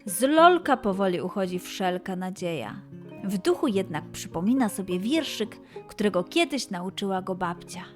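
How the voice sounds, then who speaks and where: A woman narrating a scripted children's story in an expressive way, with dramatic pauses and emphasis. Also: mid-range voice, faint music behind.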